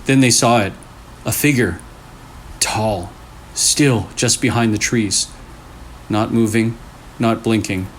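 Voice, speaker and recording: A man reading a scary story aloud in short, deliberate phrases with pauses for suspense. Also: lowish voice. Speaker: storyteller, channel host. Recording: clearly noisy room recording.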